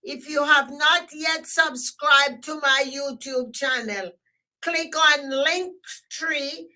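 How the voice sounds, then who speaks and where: Loud Jamaican woman ending a live stream. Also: high voice, takes it slowly, poor audio. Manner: loud, emphatic, repeats herself. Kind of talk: casual live stream sign-off.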